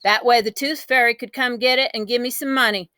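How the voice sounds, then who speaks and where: A woman acts out a spirited, boastful country child narrating a storybook. The voice is fairly high and bright, with folksy, dramatic emphasis, and it sounds dry and close. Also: fairly even intonation, brisk, no room sound. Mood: excited, indignant.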